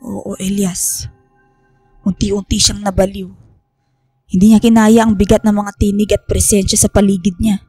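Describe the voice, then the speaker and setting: An actress playing a remorseful, secretive professor with solemn, deliberate delivery in a radio horror drama. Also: female voice, mid-range voice, little pitch movement, warm tone, husky, no room sound, studio quality, faint music behind, close to an everyday voice. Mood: pained, remorseful. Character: remorseful secretive female professor confessing family guilt.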